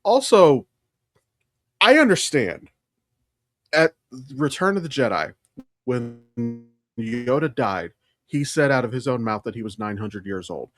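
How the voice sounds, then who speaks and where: A man's voice: mid-range voice, very animated, unhurried, long pauses. A man co-hosting a podcast in casual conversation, blunt and opinionated, swearing freely as he comments. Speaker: podcast co-host. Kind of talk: casual chat, film commentary.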